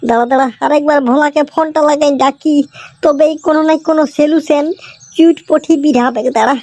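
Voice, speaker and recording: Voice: sits high, fairly even intonation, brisk, a little mellow, no echo. A performer voicing a brash, panicky teenage schoolboy in a comic cartoon, bickering in rustic slang with a Purulia accent from West Bengal. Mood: panicked, wailing. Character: panicky, foul-mouthed teenage village schoolboy facing his exam.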